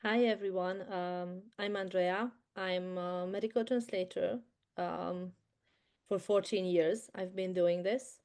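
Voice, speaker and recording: A woman with a Hungarian accent, a medical translator speaking as a guest on a video call, softly and with many hesitations. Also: on the low side, steady tone, takes it slowly, smooth. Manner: soft-spoken, hesitant, many fillers.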